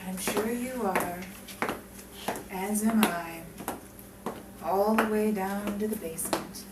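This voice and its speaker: A woman's voice: medium pitch, fairly even intonation, takes it slowly, natural voice. A woman playing a sardonic, world-weary gangster's girlfriend in a 1950s crime melodrama. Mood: sardonic, defiant. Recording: echoey room, distant mic, clear noise.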